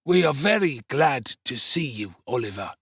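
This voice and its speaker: A man's voice: medium pitch, sing-song, normal speed, fairly bright tone, husky, no echo, studio quality, voice pushed up. A man voicing a sly old criminal gang master with oily, ingratiating friendliness in a dramatic audiobook. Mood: oily, wheedling. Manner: ingratiating, wheedling.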